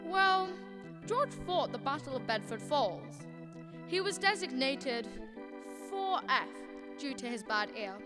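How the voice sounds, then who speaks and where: A woman's voice: high voice, sing-song, slow, over music, exaggerated voice. A young woman playing a patient, authoritative senior angel telling a story in a student radio drama. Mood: solemn storytelling. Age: young adult.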